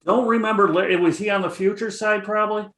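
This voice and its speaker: A man's voice: high voice, steady tone, brisk, a bit bright, roomy sound. A middle-aged man with a Chicago accent, a guest options trader talking casually, rambling with many fillers. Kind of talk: casual interview chat.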